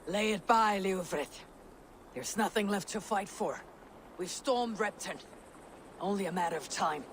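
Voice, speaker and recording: A steady Viking leader, firm and measured, bright high voice. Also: male voice, a little hiss or hum, poor audio. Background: game score.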